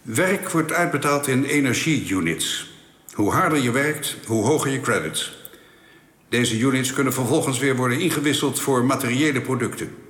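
An actor playing a courteous, matter-of-fact alien official in a Dutch science fiction radio play, speaking formally and in measured phrases. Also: male voice, medium pitch, fairly bright tone, close to an everyday voice. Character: courteous, matter-of-fact alien official man explaining his planet's laws. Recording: echoey reverberant hall effect.

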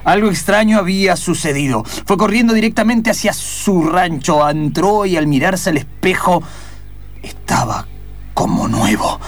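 Guy narrating a radio improv story, dramatic and suspenseful, high voice, soft music under it. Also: animated, normal speed.

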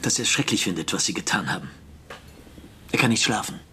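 An actor playing a measured, image-conscious politician with a deliberate, conciliatory and formal manner in a radio drama. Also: male voice, on the low side, expressive, pushed and bright, a little hiss or hum. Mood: grave, measured.

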